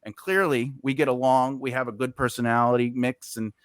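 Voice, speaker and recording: A man's voice: mid-range voice, steady tone, fairly quick, clear, resonant tone, no room sound, studio quality. A man hosting a casual podcast chat, rambling with lots of fillers and restarts. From United States. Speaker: podcast host.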